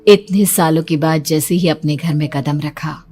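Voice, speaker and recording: A woman's voice: low-pitched, fairly even intonation, normal speed, warm tone, faint music behind. A woman narrating a horror story in the first person with a suspenseful, theatrical delivery.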